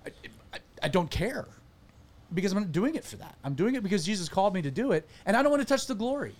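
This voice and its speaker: Animated man hosting a church podcast. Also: high voice, quick pace. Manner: animated, self-deprecating, frequent restarts. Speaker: pastor and podcast host. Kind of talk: casual panel chat.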